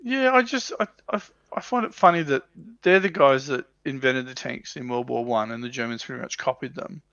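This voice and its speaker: A man's voice: medium pitch, a little fast, gravelly, a bit bright, dry recording, lo-fi sound. A man chatting casually about his hobby as a panel member on a livestream.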